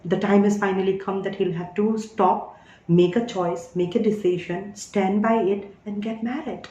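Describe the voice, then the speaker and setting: A woman's voice: mid-range voice, steady tone, moderate pace, mellow, highs cut off, slight background noise. Emphatic woman in an echoey room. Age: 30s. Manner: emphatic, repeats "all right?" to the listener. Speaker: tarot reader. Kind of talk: spiritual monologue.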